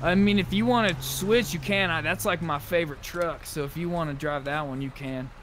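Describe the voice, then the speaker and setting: A man's voice: a little high, fairly quick. A man chatting casually while playing an online roleplay game, swearing now and then. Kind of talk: casual chat while gaming. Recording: noisy game voice chat with vehicle sounds. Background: clear vehicle and game ambience noise.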